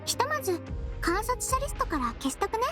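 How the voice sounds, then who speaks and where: A tiny, sweet head nurse speaks in a very high, girlish voice. The manner is gentle and reassuring anime game acting, with music playing behind. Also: very animated, brisk. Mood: calm, reassuring. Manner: gentle, reassuring, soft feminine phrasing.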